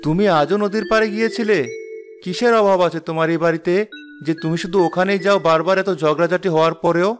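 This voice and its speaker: A man playing a high-voiced, patronising rich husband. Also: steady tone, fast, natural voice. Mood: weary, lecturing. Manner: lecturing, dismissive. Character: busy, impatient, patronising rich young husband. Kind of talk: cartoon fairy-tale dialogue. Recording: music behind the voice.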